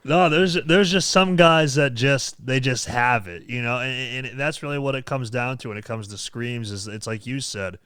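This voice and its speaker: A man's voice: medium pitch, normal speed, few pauses, a bit bright, smooth, no room sound, clean studio sound. A man hosting a casual podcast chat, rambling with frequent restarts and easy laughs. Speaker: podcast host and metal vocalist.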